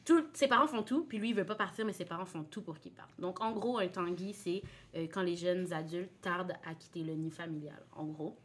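Playful young Québécoise podcast host. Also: female voice, lowish voice, fairly quick, husky. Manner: playful, laughing, mixes in English words. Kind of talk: casual podcast chat.

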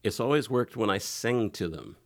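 A man speaking as a podcast guest, halting and restarting often in candid personal talk. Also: lowish voice, expressive. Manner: slow, halting, many restarts and fillers.

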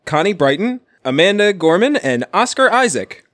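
A man's voice: sits high, sing-song, normal speed, a bit bright, no echo, studio quality. A man co-hosting a podcast in casual, joking conversation. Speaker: podcast co-host. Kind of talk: casual comedic chat.

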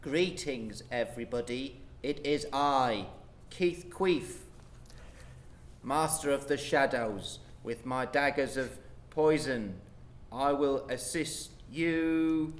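A man playing a grandiose, pompous dark elf rogue in a tabletop fantasy game. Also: mid-range voice, slow, close to an everyday voice.

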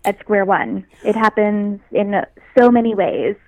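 A young adult woman with a high voice, an actress chatting as a guest in an interview, upbeat and quick to laugh. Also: no room sound, rough recording. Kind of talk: casual interview chat.

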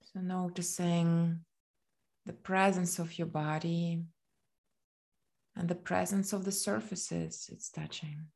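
A woman with a fairly low voice guides a body scan meditation. She speaks very slowly and gently, leaving long pauses between short phrases. The delivery is soothing and unhurried throughout. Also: fairly even intonation, vocal fry.

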